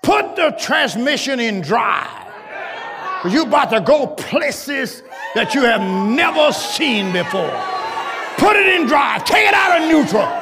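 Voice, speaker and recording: A man preaching a sermon to his congregation, shouting and chanting in a call-and-response style. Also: very high voice, sing-song, a little slow, vocal fry. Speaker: pastor. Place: large church. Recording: crowd and noise behind, loud. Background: congregation crowd.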